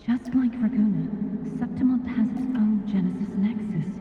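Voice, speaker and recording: A woman's voice: medium pitch, normal speed, phone-quality sound, natural voice. A woman playing a solemn, ominous, portentous figure in a video game. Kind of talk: dramatic game voice acting. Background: game music.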